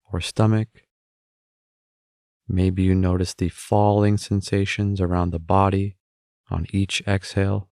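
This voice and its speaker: A man with a fairly low voice guides a meditation at a very slow pace. His delivery is calm and gentle, giving simple instructions with room between phrases. The sound is dry and close. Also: no echo.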